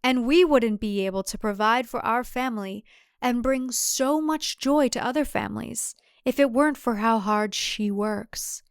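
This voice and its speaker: A woman voicing a smooth, controlling man, a cult husband in a thriller audiobook. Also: fairly high pitch, a little slow, no echo, clean studio sound. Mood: proud, measured.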